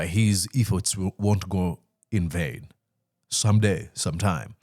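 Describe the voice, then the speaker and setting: A man's voice: fairly low pitch, darkish tone, no room sound, clean studio sound. A man from Uganda hosting a political talk show, speaking in a discursive way with frequent "uh" fillers and easy laughter. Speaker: host.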